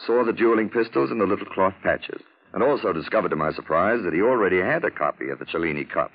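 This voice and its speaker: A suave gentleman detective in an old 1940s radio drama, on a dull vintage recording. His low voice swoops expressively as he lays things out with urbane, witty self-satisfaction. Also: expressive, fast, breathy edge, exaggerated voice. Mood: amused, self-satisfied. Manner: urbane, theatrical, drawling explanations in lettered points.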